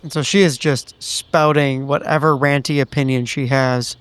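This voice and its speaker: A man's voice: mid-range voice, fairly even intonation, moderate pace, fairly bright tone, clean tone, no echo. A man talking casually as a player in a tabletop roleplaying game.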